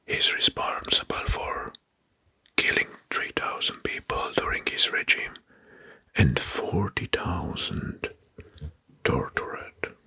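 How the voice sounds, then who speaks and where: Male ASMR narrator: very slow, breathy whisper, deliberate delivery of history facts. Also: deep voice, wildly swooping pitch, no room sound. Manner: slow, deliberate, often repeating names and phrases softly.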